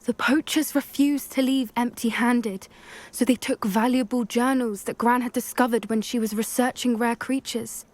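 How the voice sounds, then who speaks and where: A woman's voice: a little high, fairly even intonation, moderate pace, lightly acted. An actress playing an earnest young witch who cares about magical creatures in a video game. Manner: earnest, gentle. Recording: game audio with faint music.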